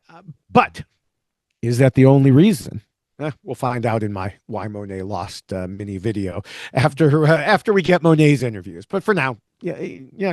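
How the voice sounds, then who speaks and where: A man's voice: on the high side, dry recording, studio quality. A man hosting a podcast, recapping episodes and chatting with a co-host. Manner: lively storyteller, laughs often.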